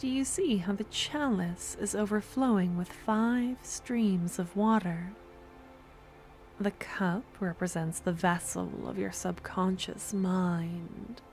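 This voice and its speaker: Woman voicing a devoted stalker girl, sweetly menacing, soft breathy whispering, gravelly fry, unhurried cooing, ASMR roleplay, music in the background. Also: mid-range voice. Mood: tender, curious. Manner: slow, cooing, gentle coaxing. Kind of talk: ASMR audio roleplay, close and intimate.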